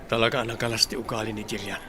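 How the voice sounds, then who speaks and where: A man's voice: fairly low pitch, level tone, quick pace, noisy background, lightly acted. A man playing a sincere young suitor in an audio drama. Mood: earnest. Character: sincere young man, courting, done with his ex.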